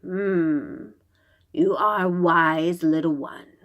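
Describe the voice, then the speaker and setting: A woman voicing a greedy, wicked male monster in a children's storybook, slow and menacing. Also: on the low side, a bit bright, lo-fi sound, heavily acted. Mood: scheming. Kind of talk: children's storybook read-aloud.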